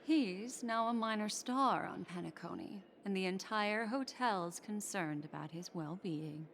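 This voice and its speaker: Polite, concerned game companion. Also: female voice, mid-range voice, measured pace, creaky, a bit bright, a little hiss or hum. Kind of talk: scripted video game voice acting.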